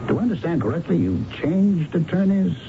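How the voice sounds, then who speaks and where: An actor playing a dogged, measured police investigator in a 1970s radio drama, deliberate, probing and formally polite as he questions and narrates. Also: fairly high pitch, sing-song, noisy background. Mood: tactful, probing. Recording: dull lo-fi radio broadcast, music behind.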